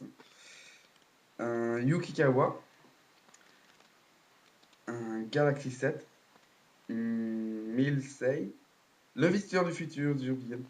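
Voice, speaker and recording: A man's voice: mid-range voice, darkish tone. A man with a cold giving a casual, hesitant spoken recap full of fillers and restarts. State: has a cold, coughing. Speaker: video blogger.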